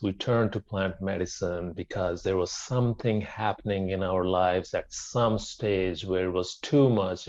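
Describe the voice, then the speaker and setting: Low-voiced middle-aged man, dull audio. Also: a little mellow. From Pakistan. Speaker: podcast guest, wellness centre founder. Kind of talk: casual personal storytelling in an interview.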